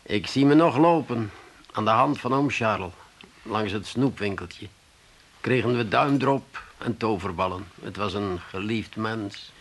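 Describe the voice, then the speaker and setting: A man's voice: fairly low pitch, measured pace. A man playing a solemn, pompous and sentimental mourner in a comedy radio play. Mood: mournful, sentimental. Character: pompous, sentimental, self-dramatizing male mourner.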